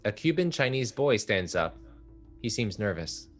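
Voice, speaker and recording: A man reads the stage directions of a play in a steady, measured way. His voice is very low, and faint music plays underneath. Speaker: narrator reading stage directions. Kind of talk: play table read narration.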